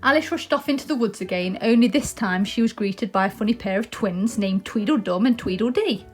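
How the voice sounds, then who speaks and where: A woman's voice: medium pitch, a little fast, soft background music. A British woman presenting and reading a children's story aloud.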